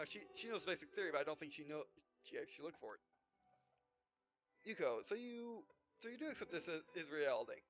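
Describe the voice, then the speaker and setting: A man doing a let's play, reading game dialogue aloud in a rambling, stumbling way with filler syllables and asides. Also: on the high side. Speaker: gaming video host. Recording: muffled lo-fi mic, music behind. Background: game music.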